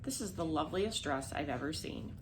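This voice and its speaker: A woman voicing a gentle, mistreated young girl in a storybook, earnest and polite as she pleads. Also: sits low, lively intonation, fairly quick, noisy background. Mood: grateful.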